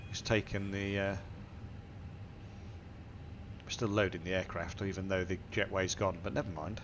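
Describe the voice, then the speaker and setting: A low, gravelly man with a bunged-up nose from a cold, working through a cockpit setup step by step. He reads out each number and setting calmly as he goes. Steady aircraft hum sits clearly behind his voice. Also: moderate pace. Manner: methodical, talks through each setting aloud. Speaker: flight sim tutorial host. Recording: simulator engine and cabin noise behind. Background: aircraft simulator hum.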